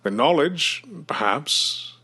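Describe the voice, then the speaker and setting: A man playing a courteous, fussy university chancellor with a stuffy, halting, melodramatic delivery in an old-time radio style. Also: on the high side, expressive, pushed and bright, slightly rough, soft background music, heavily acted. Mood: reflective, doubtful.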